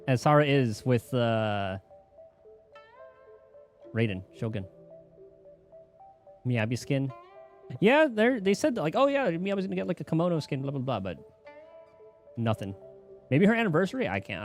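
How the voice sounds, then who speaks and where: A man's voice: mid-range voice, very animated, moderate pace, pauses often, soft background music. A man giving casual, rambling gameplay commentary as a streamer, in an offhand way. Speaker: game streamer.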